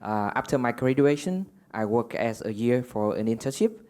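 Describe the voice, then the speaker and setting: A man from Vietnam, a graduate student and doctor, introducing himself haltingly. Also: mid-range voice, animated, darkish tone. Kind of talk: self-introduction.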